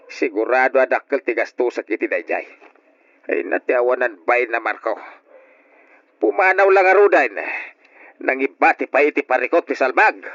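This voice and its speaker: A man's voice: medium pitch, fairly bright tone, no room sound, close to an everyday voice. Man acting a harsh uncle, dry dull radio sound. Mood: annoyed. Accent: Ilocano. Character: harsh, scolding uncle, middle-aged household master. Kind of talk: old Ilocano radio drama acting. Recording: dull old radio recording.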